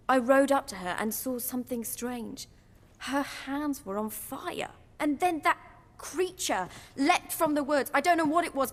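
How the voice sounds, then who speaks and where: Actress voicing an estranged noble daughter, cutting and cold, fairly high voice, heard in a reverberant space. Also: female voice, lightly acted. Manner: cold, sharp, sarcastic. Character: bitter young noblewoman, estranged daughter, zealous. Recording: long hall reverb.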